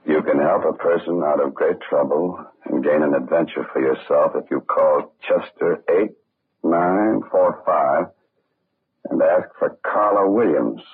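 Very deep-voiced wry radio adventurer. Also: male voice, slightly rough, exaggerated voice. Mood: musing. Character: wry adventure-seeking male writer, cool and chivalrous. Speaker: lead actor and narrator. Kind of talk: 1940s radio acting. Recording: muffled, narrow-band old radio with music.

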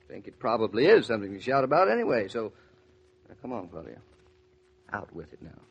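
A husky young lawyer husband in a 1940s radio drama. His pitch swings widely as he questions with dry sarcasm and exasperation. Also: sing-song, fast, muffled recording, heavily acted. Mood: skeptical, pressing. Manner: dry, sardonic, interrogating.

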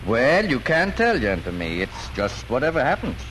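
An actor playing a patient, plain-spoken farmer father with a halting, folksy manner in a 1940s radio drama. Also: mid-range voice, wildly swooping pitch. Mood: gentle, hesitant. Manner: halting, gentle, folksy explaining. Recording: noisy old 1940s radio recording.

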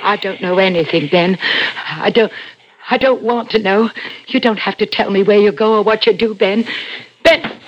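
An actress playing a frightened, timid working-class wife in an old-time radio suspense drama, speaking in an anxious, pleading way. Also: female voice, mid-range voice, moderate pace, close to an everyday voice. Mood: desperate pleading. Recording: dull, hissy vintage radio broadcast.

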